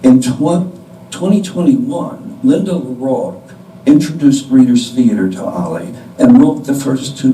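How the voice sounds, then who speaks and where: An older man giving a deliberate scripted introduction to a play from a stage, with pauses. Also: mid-range voice, warm tone. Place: indoor stage before an audience. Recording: distant mic in echoey room, background noise.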